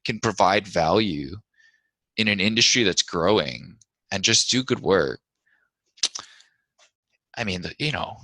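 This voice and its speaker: A man's voice: low voice, expressive, a little slow, long pauses, pushed and bright, dry recording, rough recording. A man from Hawaii, a guest entrepreneur talking in a casual interview in a modest, earnest way with frequent restarts.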